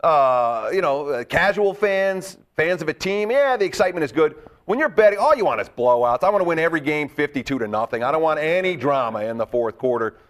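Gravelly, animated sports betting host man. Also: on the high side. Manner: animated, talks up his bets with quick asides. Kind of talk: casual sports betting talk.

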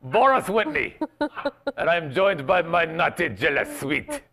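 A man's voice: fairly high pitch, sing-song, a little slow, sharp tone, exaggerated voice. A man putting on a silly Russian accent as a boisterous podcast host. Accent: put-on Russian.

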